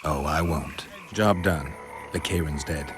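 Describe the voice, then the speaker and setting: A man voicing a stoic monster hunter with a dry, deadpan way of talking in a video game. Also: extremely low pitch, measured pace, slight background noise. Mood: terse, dry. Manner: terse, deadpan.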